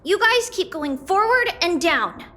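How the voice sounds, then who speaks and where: Little girl, game character, earnest, cheerful, very high, swooping intonation. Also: animated, unhurried, bright, forceful tone, heavily acted. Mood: eager. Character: small cheerful excitable girl companion, earnest and plucky. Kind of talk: animated game voice acting.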